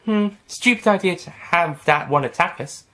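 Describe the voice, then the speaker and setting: A man voicing a feisty, excitable young woman companion in a read-aloud story. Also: high-pitched, moderate pace, pitched above the performer's own voice. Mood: wondering. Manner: eager, raised and breathless.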